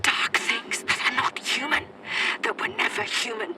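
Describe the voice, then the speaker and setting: Desperate, high-pitched radio distress broadcaster. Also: male voice, a little slow. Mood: fearful, hushed. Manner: urgent, pleading, formal. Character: frightened, desperate male radio broadcaster in hiding during invasion. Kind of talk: dramatic game voice acting as distress transmission. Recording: heavy noise over radio transmission.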